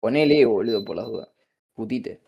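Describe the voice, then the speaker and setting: A man's voice: on the low side, expressive, fast, gravelly, husky, highs cut off. A man with a Rioplatense Spanish accent in casual, joking chat.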